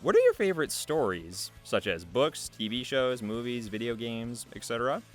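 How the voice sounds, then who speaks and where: A man's voice: medium pitch, lively intonation, normal speed. A man hosting a casual interview, asking questions and chatting. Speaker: interviewer, host. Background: video game music.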